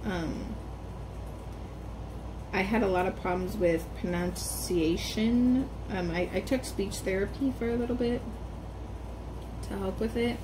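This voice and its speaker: A young woman chats in a loose vlog, rambling and restarting, with um after um. Her R sounds come out hard and strongly stressed. A steady noise runs clearly behind her voice. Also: mid-range voice, unhurried, pauses often. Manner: rambling, hesitant with many ums and restarts. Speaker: vlogger. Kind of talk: casual personal vlog. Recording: background noise.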